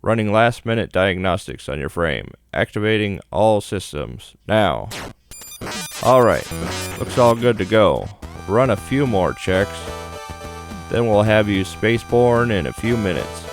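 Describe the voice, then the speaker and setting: A man's voice: lowish voice, animated, a little slow, music in the background. Man acting out a breezy military flight deck engineer. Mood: brisk, eager.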